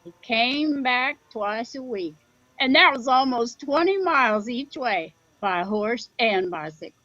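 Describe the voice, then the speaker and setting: A woman's voice: on the high side, expressive, a little slow, bright, forceful tone, no echo, lo-fi sound. A woman playing a plain-spoken rural Midwestern mother in an audio drama, dry, folksy and teasing with her grown son. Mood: reflective. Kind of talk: audio drama acting, conversational.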